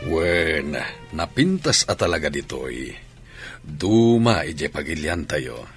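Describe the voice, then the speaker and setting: A man playing a calm, instructive athletics coach in a radio drama, with an Ilocano Filipino accent. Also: very deep voice, slow pace, breathy edge, soft background music, exaggerated voice. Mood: explaining.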